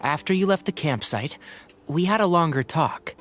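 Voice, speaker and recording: Probing young companion. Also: male voice, on the high side, expressive, normal speed, fairly bright tone, soft background music. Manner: probing, direct.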